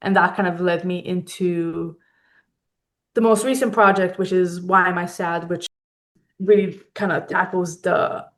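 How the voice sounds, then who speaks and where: A woman from Israel speaking reflectively as an interview guest, hesitantly searching for words and often adding "like" and "kind of". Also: fairly low pitch. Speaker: interview guest, fine art photographer.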